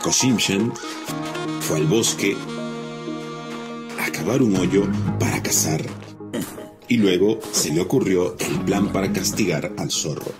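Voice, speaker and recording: Low-pitched male storyteller over music. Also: takes it slowly, pauses often. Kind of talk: scripted narration of a children's animated folk tale. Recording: music under the voice.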